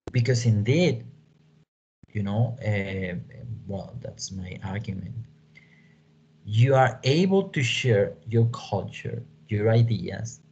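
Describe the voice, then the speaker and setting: A man with a Mexican accent, a native Spanish speaker, explaining to an online class as a lecturer in a halting way that repeats words and phrases. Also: on the low side, warm tone, slightly rough. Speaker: English teaching lecturer. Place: small room at home, indoors. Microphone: computer microphone on video call. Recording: dull lo-fi video call audio.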